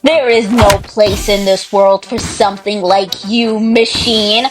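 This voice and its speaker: A woman playing a fanatical female supervillain in an audio drama, with theatrical, deliberate delivery. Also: mid-range voice, steady tone, close to an everyday voice. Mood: contemptuous. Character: cold fanatical female supervillain, contemptuous of machines. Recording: loud music behind.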